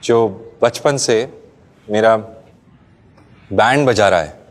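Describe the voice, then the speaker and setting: An actor playing a young groom, speaking a slow, heartfelt and gently teasing wedding tribute in a scripted ad monologue. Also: male voice, on the low side. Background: faint background music.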